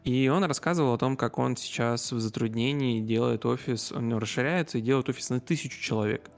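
A man's voice: medium pitch, a little fast, gravelly. A man telling stories in a casual conversational style, often searching for words and correcting himself as he goes. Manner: hesitant, searching for words, with repeated self-corrections.

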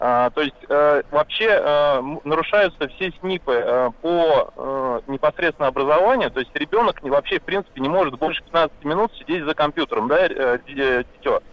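A man's voice: on the high side, lively intonation, fairly quick, hardly any pauses. A man in his forties phoning a radio show to give his opinion, in formal but hesitant wording. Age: 40s. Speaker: phone-in caller, parent. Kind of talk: giving an opinion on air. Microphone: phone. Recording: dull lo-fi phone call.

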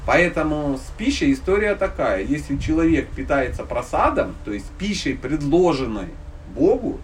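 Animated, higher-pitched man giving an informal lecture. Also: moderate pace. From Dnipropetrovsk, Ukraine. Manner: conversational, blunt slangy wording, teaching through humorous allegories. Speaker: spiritual teacher giving a lecture. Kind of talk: informal religious lecture with audience questions. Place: live talk to a listening group. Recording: distant microphone, faint background noise.

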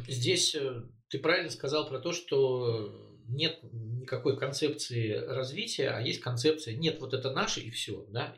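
A man in a relaxed political conversation, middle-pitched, filling his pauses with hesitation sounds and then leaning hard on his points. His voice carries a roomy echo. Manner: hesitant, many filler sounds, emphatic. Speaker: co-host. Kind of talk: casual political discussion.

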